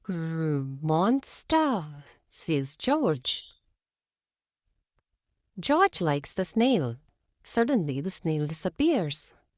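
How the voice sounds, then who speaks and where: A woman reads a picture book for children in a fairly low voice. Her pitch swings widely while the pace stays slow, and the sound is dry. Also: very animated, dry recording. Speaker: children's storybook reader. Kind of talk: animated read-aloud story narration.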